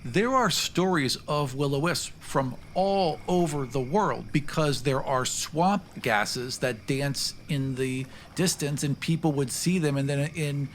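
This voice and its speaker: A man's voice: on the high side, takes it slowly, few pauses, a little hiss or hum. A man co-hosting a casual panel discussion, talking emphatically and repeating phrases for effect.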